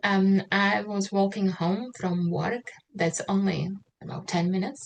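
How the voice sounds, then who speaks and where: A woman from Slovakia with a Slovak accent tells her personal story as an interview guest, haltingly, searching for words and repeating herself. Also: mid-range voice, steady tone, vocal fry, rough recording. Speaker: interview guest, writer and mentor. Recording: noticeable background noise.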